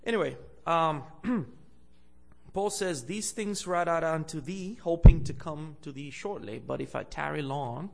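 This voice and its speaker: Young male guest preacher from Bulgaria, slightly high and gravelly, halting delivery with fillers. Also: animated. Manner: hesitant, many fillers, louder when preaching and reading scripture. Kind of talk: sermon. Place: church.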